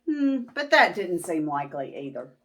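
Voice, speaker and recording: A woman reading a children's storybook aloud in a dramatic, deliberate way. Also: fairly low pitch, very animated, a bit bright. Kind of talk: expressive read-aloud narration for children.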